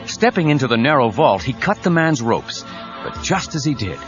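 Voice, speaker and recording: A man's voice: medium pitch, very animated, normal speed, close to an everyday voice. A man narrating an action audio drama with urgent, suspenseful scripted delivery. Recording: dull cassette audio with dramatic music.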